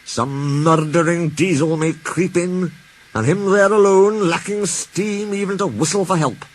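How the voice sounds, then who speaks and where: A man voicing an earnest, excitable Scottish steam engine from a children's storybook, blurting things out in dialect. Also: sits high, unhurried, voice pushed up. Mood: fearful.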